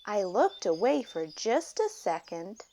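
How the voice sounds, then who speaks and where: A woman reading a children's picture book aloud, with deliberate, expressive phrasing for young children. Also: medium pitch, slight background noise. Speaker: storybook reader.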